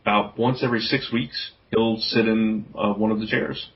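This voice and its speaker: A man's voice: on the low side, steady tone, normal speed, vocal fry. A man hosting a podcast in casual group chat, jovial and given to chuckling as he talks. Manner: jovial, chuckles through sentences. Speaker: podcast host. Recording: dull lo-fi audio.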